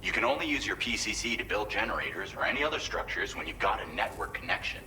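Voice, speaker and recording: Friendly game colleague giving briefings; lowish, bright, forward voice, earnest and explanatory, faint ambience. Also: male voice, very animated, fast, a little hiss or hum, exaggerated voice, poor audio. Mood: upbeat, helpful. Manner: chatty, considerate, explanatory. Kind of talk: video game briefing dialogue.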